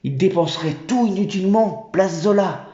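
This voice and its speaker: A man's voice: sits high, moderate pace, dark tone, voice pushed up, natural voice. A man voicing a fawning, chattering male monkey general who collects taxes. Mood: conspiratorial. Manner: obsequious, chattering. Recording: roomy, dull home recording.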